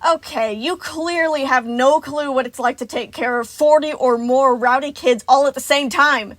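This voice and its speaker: A woman's voice: fairly high pitch, normal speed, dry recording, close to an everyday voice. A woman voicing a frantic, unhinged male animatronic babysitter, ranting in stammering bursts in an audiobook-style story. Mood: indignant, frantic.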